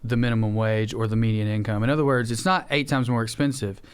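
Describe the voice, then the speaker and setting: A man's voice: lowish voice, steady tone, fast, clear, resonant tone. An American man chatting casually and comedically as a podcast co-host.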